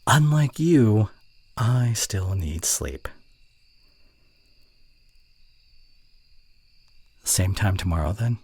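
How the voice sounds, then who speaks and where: College-age male romantic lead for ASMR roleplay, acted by a man: very low, very husky voice, soft and hesitant, fondly teasing. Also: very animated, slow pace, no echo, full character voice. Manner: soft, hesitant, tender delivery with whispered asides. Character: young male college voice actor, fond, teasing, reserved romantic. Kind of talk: intimate ASMR roleplay audio acting.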